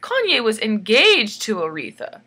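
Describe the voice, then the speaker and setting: High, husky-edged woman telling a playful story. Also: wildly swooping pitch, normal speed, bright, forceful tone. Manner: theatrical, tongue-in-cheek, giggly, repeats phrases for emphasis. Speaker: storyteller and illustrator. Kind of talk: playful bedtime story reading with chatty asides.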